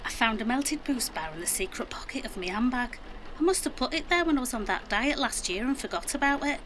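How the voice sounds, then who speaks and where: Lively-pitched defensive Yorkshire mum. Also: female voice, brisk, slight background noise, low-quality recording. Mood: coaxing. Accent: Yorkshire English. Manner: matter-of-fact, defensive. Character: middle-aged mum, defensive, ditzy yet practical. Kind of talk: naturalistic audio drama acting.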